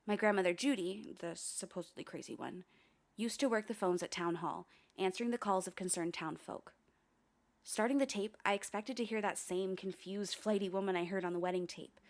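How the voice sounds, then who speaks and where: An actress playing a haunted, wry young woman who narrates her investigation in a confiding, ominous, deliberate way for a radio play. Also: mid-range voice, close to an everyday voice. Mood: reflective, ominous. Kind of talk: dramatic first-person radio play narration.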